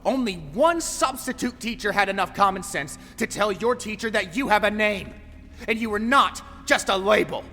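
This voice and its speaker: Casting: a grandiose, taunting villain for audio drama, forceful and cutting, theatrical delivery, long reverberant tail. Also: male voice, sits high, expressive, moderate pace, faint music behind, exaggerated voice. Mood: accusing, furious. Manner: theatrical, swinging from whispers to shouts. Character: menacing, taunting male tormentor, grandiose and theatrical. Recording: long hall reverb, faint noise.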